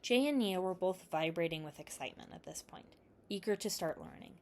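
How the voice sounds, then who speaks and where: A woman narrates an adventure story in a fairly low voice. She reads from a script, as a storyteller does in an audiobook. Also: expressive, fairly quick, a little mellow. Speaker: fan fiction reader.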